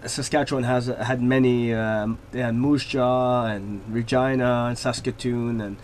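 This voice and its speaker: Man, easygoing radio guest, mid pitch, faint hiss behind. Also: a little slow. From Laval, Quebec, Canada. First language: French. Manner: relaxed, rambling with many fillers. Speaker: hockey player guest. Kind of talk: radio interview.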